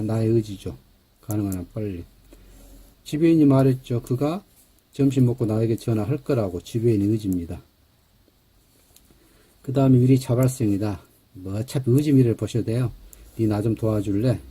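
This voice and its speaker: A man giving a recorded grammar lecture, explaining step by step and reading English example sentences slowly. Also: medium pitch, dark tone, breathy edge.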